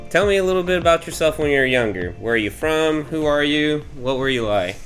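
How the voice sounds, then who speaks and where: A man hosting a casual podcast, asking eager questions and tripping over quick restarts. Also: fairly high pitch, lively intonation, fairly bright tone, faint music behind. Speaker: podcast host. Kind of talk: casual interviewing chat.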